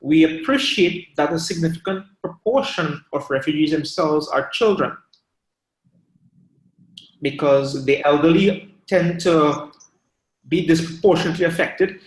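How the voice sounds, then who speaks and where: A man's voice: fairly high pitch, a little slow. Formal man in an echoey room. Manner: formal, wordy, academic phrasing. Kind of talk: online seminar question. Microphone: video call. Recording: echoey room over video call.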